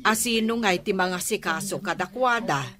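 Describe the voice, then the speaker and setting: A woman's voice: mid-range voice, expressive, moderate pace, bright, forceful tone. A woman playing a hesitant village neighbour in a radio comedy drama, with an Ilocano accent.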